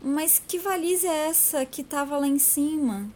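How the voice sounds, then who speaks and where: A woman's voice: high-pitched, a little slow, mellow, a little hiss or hum. A woman playing a feisty, impatient, scolding character in an audio drama. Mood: puzzled. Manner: scolding, commanding.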